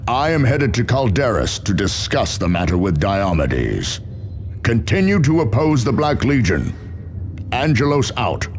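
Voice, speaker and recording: An actor playing a resolute, stern space marine captain in a video game, giving authoritative, urgent orders over a transmission. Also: male voice, medium pitch, very animated, measured pace. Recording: slightly noisy transmission.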